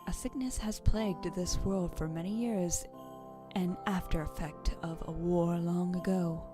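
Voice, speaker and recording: A woman playing a stern, sharp-tongued Ghost of Christmas Present in an audio drama, with a formal, scolding way of talking. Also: fairly low pitch, steady tone, a little slow, music in the background, close to an everyday voice. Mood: grave, scornful. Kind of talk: fan audio drama acting.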